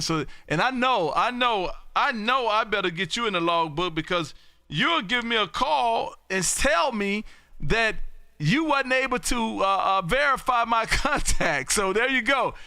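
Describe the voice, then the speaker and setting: A man with a very high, piercingly bright voice. He talks loudly and folksily as he works the amateur radio, calling and chatting with exuberance. The sound is clear and dry. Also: lively intonation, normal speed, no room sound. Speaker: amateur radio operator hosting a live stream. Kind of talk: casual radio chat and calling. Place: home radio room.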